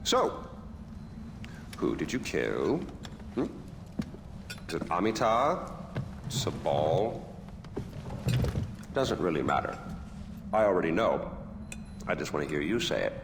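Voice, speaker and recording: An actor playing a flamboyant, smug tyrant king who mocks and threatens at a drawn-out, theatrical pace. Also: low voice, sing-song, gravelly, background noise, heavily acted. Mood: menacing. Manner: mocking, theatrical, drawn-out menace. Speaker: game villain. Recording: hall reverb with loud music.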